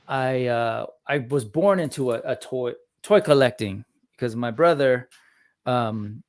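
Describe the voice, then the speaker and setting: A man in his forties hosting a casual chat, talking in a relaxed, rambling way with many fillers. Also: mid-range voice, unhurried, no echo, clean studio sound. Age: 40s. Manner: relaxed, chatty, lots of fillers and restarts. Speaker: host. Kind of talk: casual chat on a live stream.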